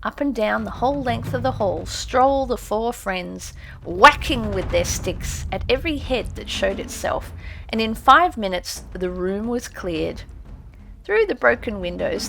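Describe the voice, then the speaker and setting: A woman's voice: on the high side, gravelly, a bit bright, a little hiss or hum. Theatrical woman reading a storybook. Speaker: audiobook narrator. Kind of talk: dramatic audiobook reading.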